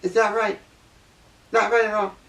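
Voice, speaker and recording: A woman's voice: flat delivery, moderate pace, fairly bright tone, recorded from a distance. Blunt woman ranting to camera. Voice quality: low voice. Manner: blunt, preachy, repeats points for emphasis. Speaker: vlogger. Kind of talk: opinion monologue to camera.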